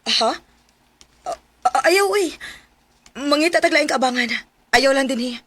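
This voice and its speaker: A caring single mother, with a Cebuano Filipino accent and a fairly high voice. She is hesitant and questioning, in radio drama style. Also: expressive, a little slow, a bit bright. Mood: worried. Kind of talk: Philippine radio drama acting.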